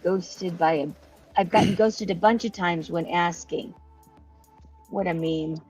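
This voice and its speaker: Slow-talking husky woman, lo-fi. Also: on the low side, a little mellow, soft background music. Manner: chatty, giggly, trails off when unsure. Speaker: co-host. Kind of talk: casual chat.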